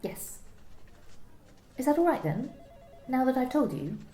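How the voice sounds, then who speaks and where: A woman's voice: high voice, big pitch swings, measured pace, warm tone, reverberant. A woman playing a young office worker in an amateur radio play, giving short, reluctant, guarded answers.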